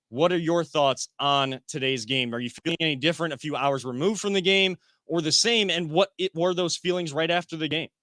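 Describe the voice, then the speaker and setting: A man's voice: a little high, bright, forceful tone, no room sound, studio quality. A man hosting a casual sports talk show, thinking aloud and often restarting his sentences. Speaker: sports podcast host.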